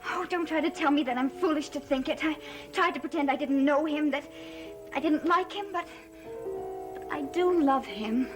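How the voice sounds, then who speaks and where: Defiant lovestruck young woman acted by a woman, very high voice, emotional gothic melodrama, music behind. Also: normal speed, lightly acted. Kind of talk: gothic soap opera acting.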